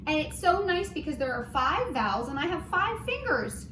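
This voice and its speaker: Brief: encouraging woman teacher, a bit high, leading a kids' lesson; distant mic in an echoey room with background noise. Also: big pitch swings, moderate pace. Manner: gentle, encouraging, singsong. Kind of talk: children's phonics lesson. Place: home. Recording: roomy, distant, noisy home recording.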